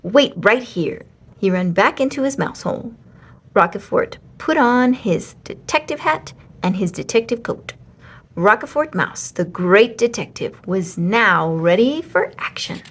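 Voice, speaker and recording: A woman reading a children's story aloud as an expressive storyteller. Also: medium pitch, a little slow.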